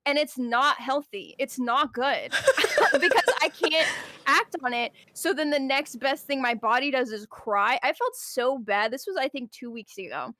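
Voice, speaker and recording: A woman's voice: sits high, lively intonation, measured pace, sharp tone, no room sound. A woman telling stories casually and dramatically, swearing freely and acting out exchanges as she vents on a livestream. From Puerto Rican heritage. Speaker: guest on a livestream. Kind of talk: casual venting, animated storytelling.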